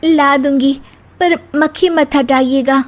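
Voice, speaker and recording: A woman playing a naive, soft-hearted young daughter-in-law in a comic story, earnest, polite and pleading. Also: sits high, fairly even intonation, slow. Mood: innocent, earnest. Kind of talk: acted Hindi comic story dialogue.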